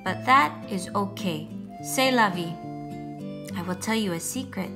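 A woman voicing a boastful, sweet little French snail in a picture book, theatrical and deliberate, talking straight to a child. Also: mid-range voice, over music, natural voice. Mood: tender. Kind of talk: picture book read-aloud.

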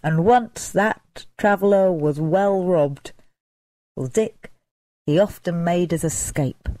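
Woman storyteller, mellow tone, expressive and deliberate, dramatic folk-tale narration, dry close recording. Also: fairly low pitch, no echo.

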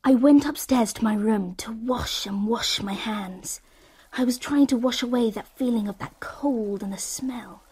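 An actress playing an anxious English girl narrating her summer in a radio drama, with hushed, intense, deliberate storytelling. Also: a little high, husky. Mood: shaken, hushed.

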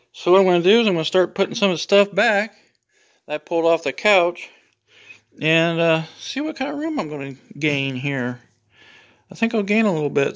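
A man with a fairly high voice talks in an easygoing, rambling way, full of homespun country phrases. He is filming himself on a handheld phone while walking around a garage. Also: a bit bright. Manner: folksy, rambling, homespun phrases like "looky here". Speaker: YouTube vlogger. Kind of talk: casual walk-through vlog. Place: garage and home interior. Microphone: handheld phone camera, filming himself.

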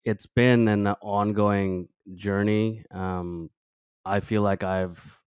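Deep-voiced thoughtful man in his thirties. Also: fairly even intonation, extremely slow, a little mellow, clear, resonant tone, dry recording, clean studio sound. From Bangladesh. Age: 30s. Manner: thoughtful, searching, many fillers and restarts. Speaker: podcast guest. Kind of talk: reflective interview talk. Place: small room with plant and guitar on wall. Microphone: headset with studio mic on arm.

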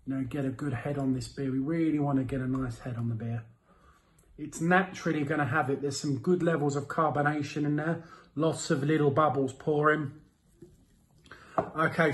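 A man in his thirties giving a casual product review to camera, with a playful, theatrical delivery and drawn-out words. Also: fairly high pitch. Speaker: host of a beer review series. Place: small indoor room with window blinds.

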